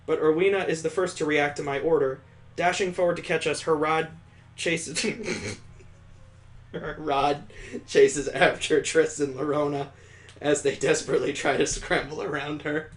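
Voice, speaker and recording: A man's voice: on the high side, steady tone, a little slow. A man hosting a let's play, reading visual novel narration aloud dramatically and breaking off for amused, incredulous asides. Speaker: gaming channel host doing a let's play.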